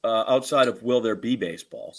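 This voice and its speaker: A man's voice: mid-range voice, a little fast, fairly bright tone. A man co-hosting a podcast with casual banter and questions, joking and rambling as he goes. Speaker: podcast co-host.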